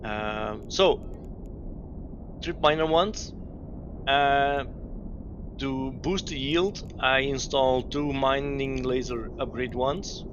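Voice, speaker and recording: A man from Belgium streaming a game, explaining his setup in halting speech full of fillers and restarts. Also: medium pitch. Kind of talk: live-stream gameplay commentary. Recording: dull lo-fi mic with clear noise.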